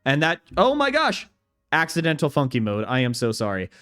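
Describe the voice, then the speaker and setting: A man's voice: on the high side, wildly swooping pitch, moderate pace, fairly bright tone, soft background music. A young man streaming a video game, chatting casually with his viewers, reading their messages aloud and laughing easily. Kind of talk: casual gameplay commentary.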